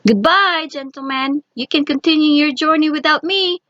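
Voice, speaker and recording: Casting: tiny confident boy for a storybook played by a woman, high, eager voice, slightly dull dry recording. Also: normal speed, pushed and bright, no echo. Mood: jeering. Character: tiny clever cheeky boy, thumb-sized, confident. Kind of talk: children's storybook read-aloud.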